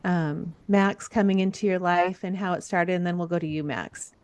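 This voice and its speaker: A woman's voice: on the low side, fairly even intonation, moderate pace. A woman hosting an interview podcast, talking in an effusive, rambling way and often restarting her sentences. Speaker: podcast host. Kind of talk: casual interview.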